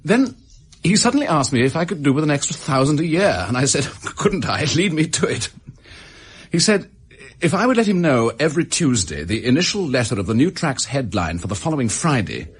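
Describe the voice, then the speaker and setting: An actor with an English accent playing a desperate, bitter office worker making a halting confession in a radio drama. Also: male voice, on the high side, sing-song, husky. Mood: uneasy, confiding.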